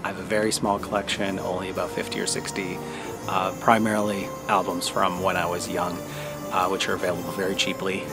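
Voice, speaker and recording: Low-voiced man over music. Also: level tone, a little fast. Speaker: vlogger. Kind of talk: personal reflective monologue to camera.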